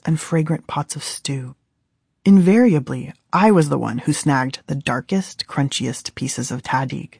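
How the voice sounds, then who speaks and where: American woman, thirties, husky, dark-toned, audiobook narration, close dry studio sound. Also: low voice, dry recording. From Southern California, USA. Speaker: author reading own audiobook.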